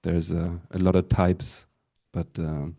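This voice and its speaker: Give me a young man with a deep, warm voice speaking haltingly. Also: flat delivery, creaky. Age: young adult. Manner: halting, repeats words. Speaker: guest, student.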